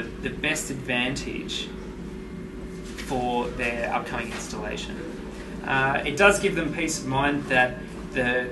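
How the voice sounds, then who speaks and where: Man in his thirties, engineer, mid-pitched, hesitant uhs and restarts, roomy factory office, loud air-con hum. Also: distant mic. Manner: steady explanatory, with many uhs and repeated words. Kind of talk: workplace interview.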